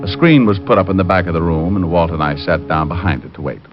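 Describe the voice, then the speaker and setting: A man's voice: sits low, fast, lightly acted. A man playing a cool, wisecracking private detective in a vintage radio crime drama. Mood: matter-of-fact. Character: wry, cool male private detective, narrating his case. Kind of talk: 1940s-50s radio detective drama acting. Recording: muffled old radio recording with music.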